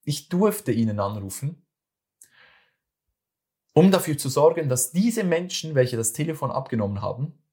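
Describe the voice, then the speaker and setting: A man's voice: fairly high pitch, sing-song, normal speed, long pauses, a little mellow. Earnest Swiss German man giving advice. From Switzerland. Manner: earnest, repeats and restarts phrases, addresses listener directly. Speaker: speaker giving communication advice. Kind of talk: motivational monologue.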